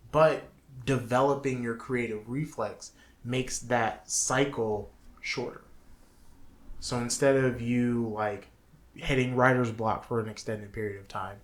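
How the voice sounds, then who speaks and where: A man's voice: medium pitch, fairly even intonation, measured pace. A man co-hosting a podcast in casual conversation, talking in a self-deprecating way. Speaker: podcast co-host. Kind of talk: casual chat. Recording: clearly noisy room recording.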